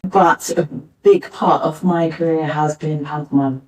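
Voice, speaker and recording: A woman's voice: low-pitched, steady tone, measured pace, darkish tone. A middle-aged woman introducing herself as a panelist at a live discussion. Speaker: panelist, writer, director and actor. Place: arts centre theatre, live panel. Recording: distant backup live recording.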